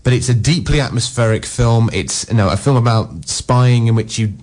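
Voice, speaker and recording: A man's voice: mid-range voice, normal speed, a little mellow. A man co-hosting a radio film show who gives wry, opinionated reviews full of "you know" and "sort of". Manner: opinionated, wry, with frequent filler words. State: groggy. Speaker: film critic co-host.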